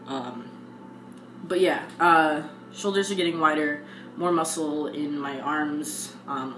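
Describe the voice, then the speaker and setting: A young man talking casually in a video diary, pausing often and filling gaps with "um". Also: a little high, rough recording. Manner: halting, frequent ums. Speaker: vlogger. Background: guitar music.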